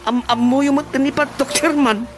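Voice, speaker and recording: An actor with an Ilocano Filipino accent playing a teasing villager in a radio comedy drama. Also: male voice, extremely high pitch, fairly even intonation, moderate pace, music in the background. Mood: needling.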